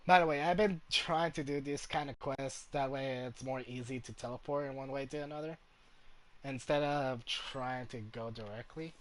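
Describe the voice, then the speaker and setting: A man giving casual, joking gameplay commentary in a halting way. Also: mid-range voice, a little hiss or hum. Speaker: gamer playing and commenting.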